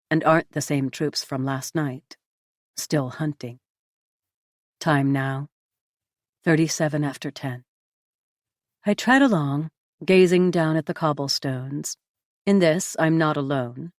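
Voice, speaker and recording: A woman narrating a novel in the first person, with a measured, even storytelling delivery. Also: deep voice, no echo, studio quality. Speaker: audiobook narrator.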